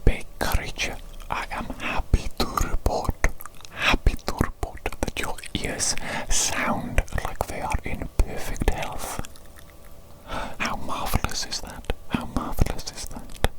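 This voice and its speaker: A woman voicing an eccentric, theatrical evil doctor in a breathy whisper for an ASMR medical roleplay, often repeating words and phrases. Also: slow, lightly acted, low-quality recording. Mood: soothing, insistent.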